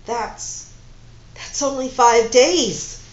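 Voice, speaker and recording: Betrayed woman, tearful and furious, loud accusing outbursts at a raised pitch, read by a woman narrator, dull roomy recording. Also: big pitch swings, unhurried. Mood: anguished. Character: distraught, furious woman who feels betrayed.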